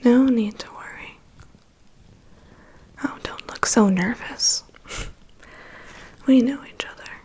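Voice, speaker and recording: Slow whispering woman as a vampire's assistant. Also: fairly high pitch, warm tone, exaggerated voice. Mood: curious, reassuring. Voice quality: breathy whisper. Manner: slow, unhurried, murmured reassurances and dry asides. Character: vampire's human assistant, woman, calm, prying, dryly nonchalant. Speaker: ASMR performer. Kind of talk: ASMR roleplay, personal attention.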